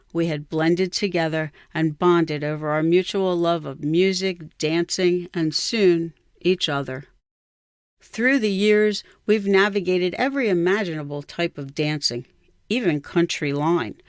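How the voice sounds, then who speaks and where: Older woman storyteller, clear and dry. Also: fairly low pitch, measured pace, dry recording. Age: 60s or older. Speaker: writer reading own memoir. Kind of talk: scripted personal narration, storytelling.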